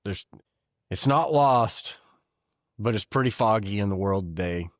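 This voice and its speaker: A middle-aged man hosting a conversational interview, folksy and curious, with quick reactions. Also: on the low side, expressive, dry recording, clean studio sound. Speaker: podcast host.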